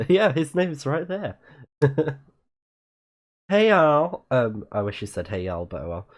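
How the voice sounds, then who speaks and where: A man's voice: fairly high pitch, lively intonation, slow. A man casually commentating on a video game as a live-streaming host, rambling in chatty asides, his nose a little blocked up. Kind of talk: casual game commentary, reading in-game messages aloud.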